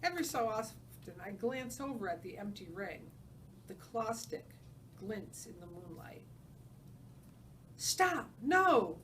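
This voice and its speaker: A woman's voice: medium pitch, lively intonation, unhurried. A woman reading a story aloud as a teacher, narrating and putting questions to her students. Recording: distant mic with faint background noise.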